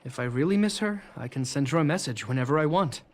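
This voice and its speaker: Earnest, restrained young brother. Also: male voice, on the high side, lively intonation, fast talker. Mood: tender, worried. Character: earnest, protective young brother, quietly resigned. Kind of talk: video game voice acting.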